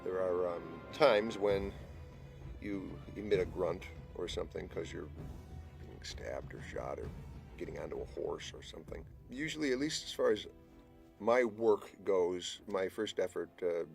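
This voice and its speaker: Male actor interviewee, very low creaky voice, wry and deliberate, music underneath. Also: lively intonation. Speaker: actor talking about his work. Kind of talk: reflective recorded interview.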